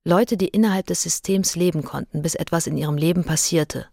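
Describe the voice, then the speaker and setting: A sober female narrator reads in a radio drama. Her voice is fairly low with plenty of fry, recorded dry and close. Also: brisk, warm tone, no room sound.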